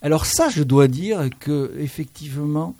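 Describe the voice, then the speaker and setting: A radio host, a man, talks in a reflective, musing way and drifts into lyrical asides. His voice sits fairly high for a man, and the studio recording is dry with no room sound. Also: animated, measured pace, mellow, dry recording. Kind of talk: radio interview.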